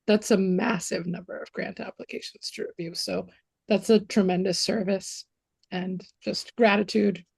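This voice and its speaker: Gravelly, husky-voiced woman giving thanks. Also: medium pitch, fairly even intonation, moderate pace, darkish tone. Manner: earnest, thankful. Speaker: commission member. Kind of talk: meeting remarks.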